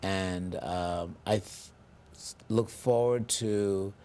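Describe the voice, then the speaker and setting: A man hosting a local TV interview, speaking in a measured, formal way with frequent ums. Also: low voice, warm tone. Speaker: TV interview host.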